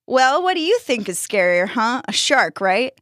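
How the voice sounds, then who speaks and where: A woman acts out a childlike boy, a bodiless head in a storybook. He is eager and defensive, with a clearly raised pitch. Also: sing-song, moderate pace, fairly bright tone, no echo, studio quality. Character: eager squabbling boys, talking severed heads.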